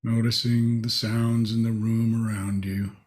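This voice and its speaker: A man leading a guided meditation, speaking in short, spacious phrases with long pauses. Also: mid-range voice, level tone, a little mellow.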